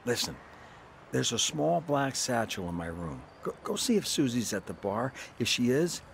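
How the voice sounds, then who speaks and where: A man's voice: mid-range voice, sing-song, normal speed, vocal fry, a little hiss or hum. An actor with an American accent playing a wary, hard-bitten man on the run in a radio drama, terse and wry. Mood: urgent. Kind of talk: radio drama acting and first-person narration.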